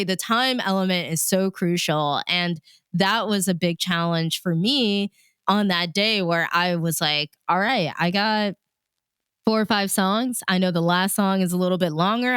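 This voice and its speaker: A woman's voice: on the low side, moderate pace, a bit bright, smooth, no echo, studio quality. A woman chatting casually and telling stories in the manner of a radio DJ, animatedly acting out her own thoughts as she goes. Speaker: radio DJ and host, interview guest. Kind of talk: casual conversational storytelling.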